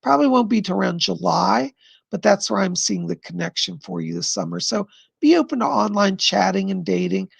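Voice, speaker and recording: A man hosting a live stream of psychic readings, answering viewer questions in an effusive, chatty way and pausing to listen to spirits. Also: high voice, big pitch swings, gravelly, slightly rough, no echo. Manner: pauses to listen to spirits mid-sentence.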